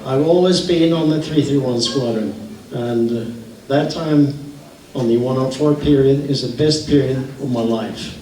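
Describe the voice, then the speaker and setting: An older retired pilot giving a joking reunion speech. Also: male voice, mid-range voice, unhurried, warm tone. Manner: joking, laughing. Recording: echoey hall from far off, noisy.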